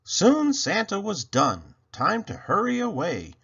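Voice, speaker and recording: Slow, swooping male storybook reader. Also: high-pitched, wildly swooping pitch, a bit bright, husky, dull audio. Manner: theatrical, deliberate phrasing. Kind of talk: expressive rhyming picture-book read-aloud.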